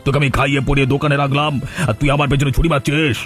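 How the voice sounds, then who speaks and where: A man's voice: medium pitch, little pitch movement, racing, a bit bright, faint music behind, natural voice. An actor voicing a crooked male hotel owner in a cartoon, blustering and wheedling. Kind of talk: cartoon voice acting.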